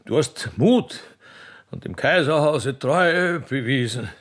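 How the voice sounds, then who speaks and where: Slow, solemn old Austrian emperor. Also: male voice, mid-range voice, expressive, a bit bright, voice pushed up. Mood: benevolent.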